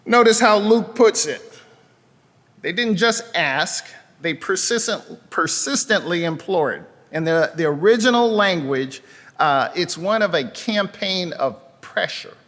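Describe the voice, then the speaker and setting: Male pastor, slightly high voice, conversational sermon delivery, lingering reverb of a church hall. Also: lively intonation, a little slow, a bit bright. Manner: conversational, with asides and frequent fillers. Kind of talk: sermon with scripture reading. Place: church sanctuary.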